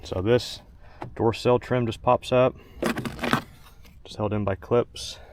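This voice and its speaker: A man's voice: medium pitch, fairly even intonation, slow pace. A man narrating a casual how-to while working in and around a parked truck, plain-spoken and instructional, in short practical phrases. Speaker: presenter of a truck installation how-to. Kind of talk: casual hands-on tutorial narration. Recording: clear background noise, clicks of handling.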